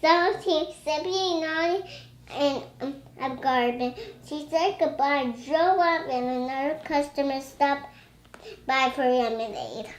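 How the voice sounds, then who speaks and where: A young child with a very high, bright voice reads a storybook aloud and chats. The delivery is slow and halting, with stumbles over words, in a roomy-sounding recording. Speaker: young reader. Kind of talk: reading a storybook aloud, chatting.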